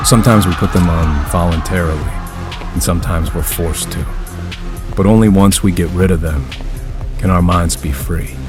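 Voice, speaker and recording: Deep male voice, actor as weary brooding killer, grim, deliberate, game cutscene drama, music underneath. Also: lightly acted. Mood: solemn. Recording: music behind the voice.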